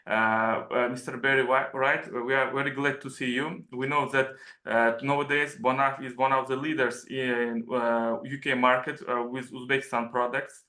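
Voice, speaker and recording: A man speaking for an embassy, with a bright, forceful tone and very little pitch movement. His formal remarks come out hesitantly, full of fillers. He sounds like he is in an echoey room. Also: flat delivery, normal speed. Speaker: embassy official. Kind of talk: formal webinar opening remarks. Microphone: video call.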